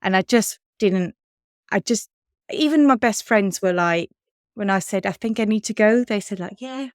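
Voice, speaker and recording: A woman in her thirties with an English accent talks candidly on a podcast, laughing now and then. Her voice is smooth and clean. The sound is dry and close. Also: medium pitch, normal speed, dry recording. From Switzerland. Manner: chatty, candid, laughs often. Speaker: podcast co-host. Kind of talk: casual chat.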